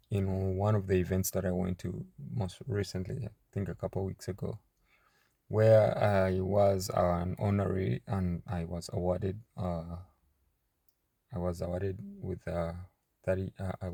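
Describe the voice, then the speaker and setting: A young man from Uganda talks about himself and his startup, pausing often and restarting his sentences. His low voice has a gravelly fry and a dark, mellow tone. The sound is close and dry. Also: no echo. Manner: halting, many fillers and restarts. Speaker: startup founder. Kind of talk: casual personal introduction to camera.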